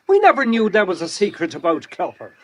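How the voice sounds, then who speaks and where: An actor playing an excitable, simple travelling player, colloquial and exclaiming, in an audiobook play. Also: male voice, sits high, brisk, exaggerated voice. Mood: alarmed. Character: excitable, simple travelling player who minds a pantomime horse.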